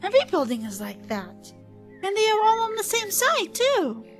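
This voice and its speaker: An earnest young female companion played by a woman in an audio drama. Her voice is extremely high and swoops expressively, emotive and principled, with faint music behind. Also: wildly swooping pitch, moderate pace, full character voice. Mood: matter-of-fact. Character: young female travelling companion, earnest, moral, easily alarmed.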